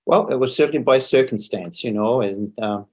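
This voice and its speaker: A man's voice: mid-range voice, moderate pace, darkish tone, husky. A middle-aged man with a New Zealand accent talks conversationally as an interview guest, often repeating words as he finds his phrasing. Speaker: interview guest, financial advisor.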